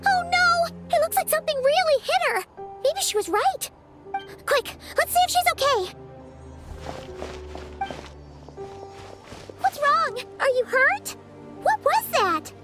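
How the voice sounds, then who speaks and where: A tiny fairy sidekick with an extremely high, childlike voice that bounces around in pitch. She is chatty, excitable and very expressive, in video game style. Prominent music plays underneath. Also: moderate pace. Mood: alarmed. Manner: refers to self in third person, expressive. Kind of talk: animated video game voice acting.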